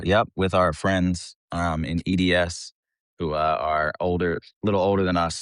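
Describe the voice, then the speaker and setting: A man's voice: sits low, fairly bright tone, no room sound, clean studio sound. A man from the United States talking casually as a band vocalist being interviewed, in an informal, rambling, self-deprecating way.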